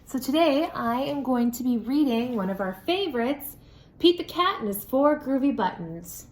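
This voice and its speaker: Woman, children's story reader, high-pitched, unhurried, expressive sing-song delivery, roomy echo. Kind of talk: read-aloud for young children with sung refrains.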